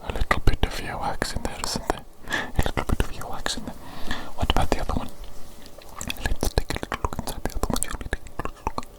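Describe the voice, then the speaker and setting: A woman whispering an ASMR medical roleplay as a fussy doctor, softly repeating her phrases in a breathy, unvoiced voice. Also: fast talker, low-quality recording. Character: fussy evil doctor examining a creature. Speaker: ASMR performer playing a doctor.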